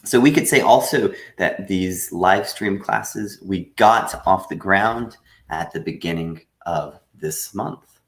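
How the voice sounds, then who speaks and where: A man teaching a live online English lesson, patiently explaining with frequent pauses. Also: low-pitched, reverberant. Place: room at home.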